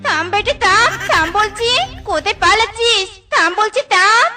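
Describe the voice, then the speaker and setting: A woman's voice: very high voice, expressive, moderate pace. A woman voicing a vain, melodramatic cartoon housewife, whiny, theatrical and scolding, with music in the background. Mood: furious. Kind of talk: animated cartoon dialogue.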